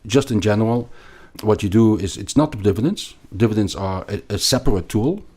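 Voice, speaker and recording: A man with a Belgian accent explaining things as an interview guest, haltingly and emphatically, often repeating words. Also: on the low side, expressive, a little mellow, slightly rough. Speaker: podcast guest, investment analyst.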